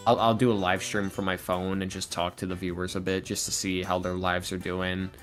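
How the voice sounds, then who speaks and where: A man's voice: sits low, level tone, fairly quick, faint music behind. A man speaking casually and candidly as a podcast guest, earnest, confiding and self-deprecating. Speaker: podcast guest, ASMR roleplay audio creator. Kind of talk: casual, candid interview talk.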